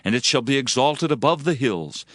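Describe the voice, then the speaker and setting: An actor playing a fervent, solemn prophet in a dramatized scripture reading, declaiming judgment and hope. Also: male voice, mid-range voice, expressive, moderate pace, a bit bright, no echo, lightly acted. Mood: solemn, exalted. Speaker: Bible narrator. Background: music.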